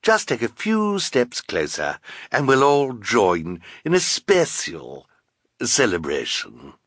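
A man's voice: mid-range voice, wildly swooping pitch, slow, fairly bright tone, slightly rough, no room sound, studio quality, pitched above the performer's own voice, heavily acted. A man voicing a gloating, scheming theatrical villain in a storybook, with a triumphant, slyly coaxing manner.

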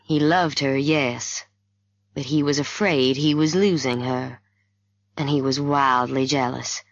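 A woman reads the part of a kindly male doctor in an audiobook mystery. He speaks low and unhurried, calm, frank and reassuring. The sound is a little dull. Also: full character voice. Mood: grave, gentle.

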